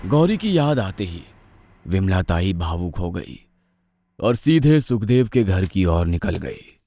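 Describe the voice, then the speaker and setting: A man's voice: deep voice, very animated, slow, mellow, dry recording. A man narrating a scripted horror story in a dramatic storytelling style. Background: music.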